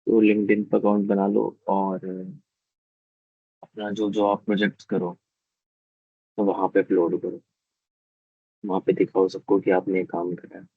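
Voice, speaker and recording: A hoarse male teacher giving a live online class, explaining step by step and often checking that students follow. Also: low voice, completely flat delivery, a little slow, pauses often, dry recording, narrow, tinny audio. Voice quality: husky, gravelly.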